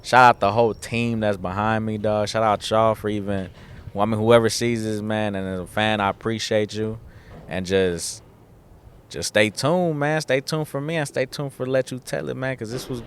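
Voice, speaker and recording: A man's voice: fairly low pitch, fairly even intonation, normal speed, clean tone. A young man from the San Fernando Valley, a guest musician in a casual interview, talking in a laid-back, slangy way full of "like" and "dog". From San Fernando Valley, California. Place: outdoors in front of a theater.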